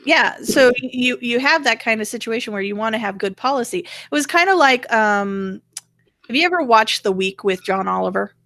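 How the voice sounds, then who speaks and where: A woman's voice: fairly high pitch, animated, moderate pace, a bit bright. A woman hosting a casual political chat, explaining her opinions as she goes. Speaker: show host.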